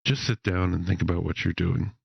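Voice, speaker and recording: A man playing an exasperated, hectoring critic, gravelly and urgent, in game lore acting. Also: sits low, fairly even intonation, a little fast, no room sound, heavily acted. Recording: dull lo-fi sound.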